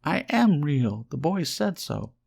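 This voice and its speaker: Man voicing an earnest little toy rabbit, pitched up. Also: very animated, takes it slowly, creaky, darkish tone, heavily acted. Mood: upset. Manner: earnest, plaintive protesting. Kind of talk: storybook character voice.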